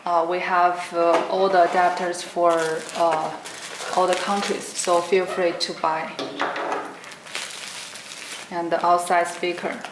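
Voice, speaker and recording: A young woman giving an unscripted product demonstration in short, simple, halting phrases. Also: lowish voice, flat delivery. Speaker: product demonstrator for an electronics brand. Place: indoor room at a table with green backdrop. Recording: roomy echo, clearly noisy background.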